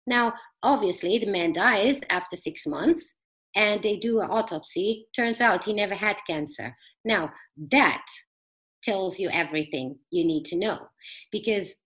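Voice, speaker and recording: A middle-aged woman speaking as a podcast guest, telling personal stories conversationally in a halting, earnest, explanatory way. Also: medium pitch, fairly bright tone, poor audio.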